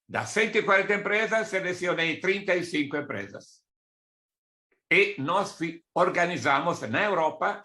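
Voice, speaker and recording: A man's voice: mid-range voice, sharp tone. An older man from Italy with an Italian accent, a native Italian speaker explaining business matters in a didactic way as a consultant giving a presentation. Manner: didactic, with asides and listed examples. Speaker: export consultant giving a talk.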